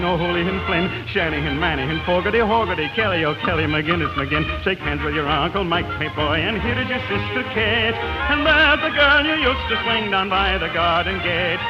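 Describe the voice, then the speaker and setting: A man's voice: high-pitched, muffled recording. A man singing an Irish popular song on a 1940s radio broadcast.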